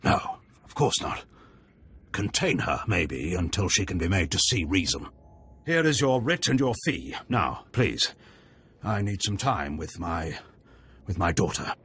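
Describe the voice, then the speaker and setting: Refined, exasperated noble father, husky and animated. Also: low-pitched, a little slow, heavily acted. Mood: formal. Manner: formal, exasperated. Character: worried aristocratic Sith father, formal.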